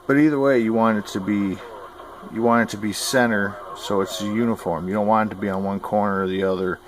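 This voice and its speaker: A man's voice: lowish voice, fairly even intonation, a little fast, low-quality recording. A man giving informal how-to advice as a radio hobbyist, folksy, plain-spoken and opinionated. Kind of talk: informal instructional talk.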